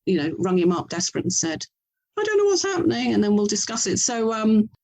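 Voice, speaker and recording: A woman's voice: mid-range voice, very animated, fast talker, darkish tone. A woman with an English accent speaking as an interview guest, effusive and enthusiastic in a conversational style. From England. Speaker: author and audio drama producer, interview guest.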